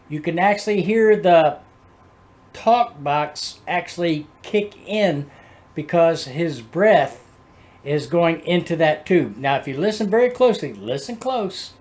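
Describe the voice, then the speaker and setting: Man rambling casually, slightly high-pitched voice. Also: a little mellow. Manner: rambling, halting, explanatory. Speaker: host of a music reaction video. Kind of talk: casual chat, music commentary.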